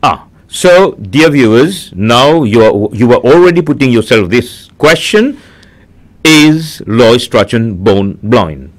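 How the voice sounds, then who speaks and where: A man's voice: medium pitch, lively intonation, slow pace. A man hosting a conversational broadcast interview, repeating answers back and addressing viewers directly.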